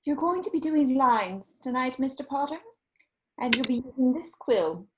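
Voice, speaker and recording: A woman's voice: sits high, animated, normal speed, background noise. A woman playing a prim, mock-polite, sweetly menacing middle-aged teacher in an amateur script read. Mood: prim command.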